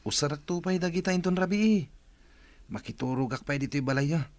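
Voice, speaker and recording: Man playing an obliging, higher-pitched young Ilocano grandson. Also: a little fast, no echo, close to an everyday voice. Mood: coaxing. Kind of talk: radio drama acting in Ilocano.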